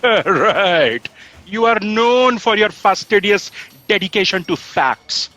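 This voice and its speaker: Audio drama role: actress as serious, anxious office aide, measured pace, expressive pitch. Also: female voice. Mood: dry. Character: earnest, long-suffering copy aide, anxious and serious. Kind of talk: comedic audio drama acting.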